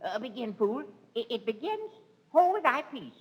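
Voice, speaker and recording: An actor playing a foolish, eager, drunken gentleman who stammers and dithers in 1930s radio Shakespeare. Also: very high-pitched, sing-song, measured pace, slightly rough, highs cut off, full character voice. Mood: giddy. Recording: vintage 1930s radio recording.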